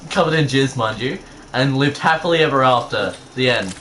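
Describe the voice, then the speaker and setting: A man co-hosting a casual read-aloud of fan fiction, halting and exasperated, swearing as he goes. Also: medium pitch, fairly bright tone. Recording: noisy room background.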